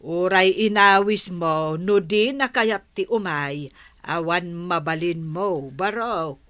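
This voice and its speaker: An elderly village grandmother with an Ilocano accent, speaking slowly in a bright, sharp-edged voice. She drawls in a kindly, resigned way, in the manner of a radio drama. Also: fairly low pitch, clear, resonant tone. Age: older.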